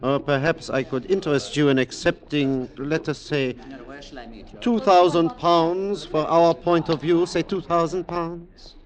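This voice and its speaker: An actor playing a suave enemy conspirator in a 1940s radio drama, smooth, deliberate and mockingly polite. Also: male voice, a little high, fairly even intonation, close to an everyday voice. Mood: suave, insinuating.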